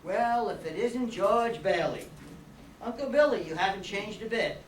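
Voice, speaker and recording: A man's voice: high voice, animated, unhurried. Distant, slightly noisy, male actor as eager newlywed younger brother, earnest, radio drama. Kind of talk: old-time radio drama acting. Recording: distant echoey room, hiss.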